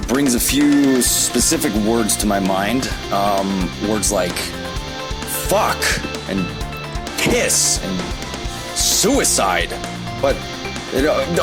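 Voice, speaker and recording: A man with a mid-range voice delivers a comedic online commentary, sarcastic and profane, drifting into rambling asides. Clear background music plays under his narration the whole time. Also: mid-range voice. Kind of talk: comedic video essay narration.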